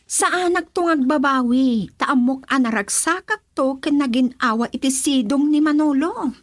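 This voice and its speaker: A woman's voice: high-pitched, normal speed, no echo, clean studio sound, lightly acted. An actress playing a headstrong young woman set on marrying for money in an Ilocano Filipino radio drama. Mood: firm, unapologetic.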